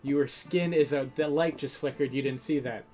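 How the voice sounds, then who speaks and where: A woman's voice: extremely low pitch, normal speed, dark tone, faint music behind. A woman playing through a game, bantering casually and reading aloud in a playful, teasing manner. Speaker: game streamer and reader.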